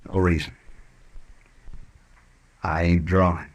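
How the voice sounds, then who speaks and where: A man's voice: low-pitched, level tone, slow pace. An American actor playing a guarded, sullen hired gunman who backs away from a fight in an old-time radio western. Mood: sullen, defensive. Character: sullen, evasive male hired gunman, cowardly when challenged. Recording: noisy old radio recording.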